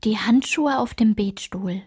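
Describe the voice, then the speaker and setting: A woman performing a radio play's literary narration as someone looking back on her girlhood, in a measured, descriptive way. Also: mid-range voice, darkish tone, breathy edge. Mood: reflective, measured. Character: reflective woman recalling her girlhood through old photographs.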